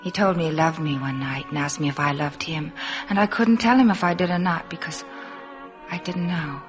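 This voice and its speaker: A shy young woman, played by an actress, speaks softly and haltingly, as if confiding something tender and uncertain. It is 1950s radio drama, earnest and wistful, with music clearly behind her voice. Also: low voice, lightly acted. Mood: wistful, hesitant. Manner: soft-spoken, halting, wistful. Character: shy, hesitant, earnest young working girl in love. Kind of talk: 1950s radio drama acting, intimate first-person narration.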